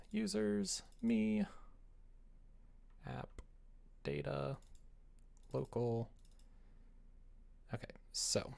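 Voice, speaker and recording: A man chatting casually on a livestream as he works, rambling and self-deprecating, often muttering to himself, with a gravelly, creaky voice. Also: medium pitch. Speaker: live streamer, game developer. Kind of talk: casual livestream chat while working on screen.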